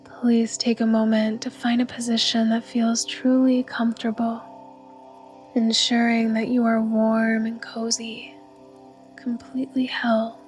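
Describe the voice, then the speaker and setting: A woman guiding a yoga nidra meditation in a soft, breathy, soothing and unhurried voice. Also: a little high, completely flat delivery, soft background music. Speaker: meditation guide.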